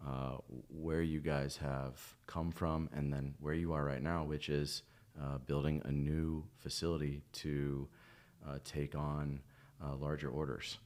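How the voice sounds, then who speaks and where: Very deep-voiced man hosting an interview. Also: normal speed. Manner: relaxed, many fillers, encouraging backchannels. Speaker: host, café owner. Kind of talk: casual interview.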